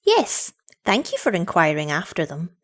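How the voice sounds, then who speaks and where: Teasing country girl, voiced by a woman, coolly polite, offhand and disdainful, expressive intonation. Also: on the low side, moderate pace. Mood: cool politeness. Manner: prim, offhand, scornful. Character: scornful village girl, cool, teasing, unconcerned.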